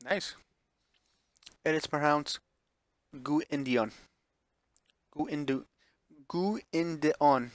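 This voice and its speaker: A man's voice: a little high, extremely slow, pauses often. A man streaming a visual novel, reading it aloud with offhand reactions and correcting himself when he stumbles over words. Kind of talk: live playthrough read-aloud with offhand reactions.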